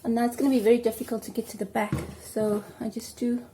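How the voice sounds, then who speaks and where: A woman's voice: on the high side, level tone, quick pace, warm tone, a little hiss or hum. Chatty South African woman vlogging at home. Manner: chatty, rambling, with many ums. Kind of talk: casual hair tutorial chat.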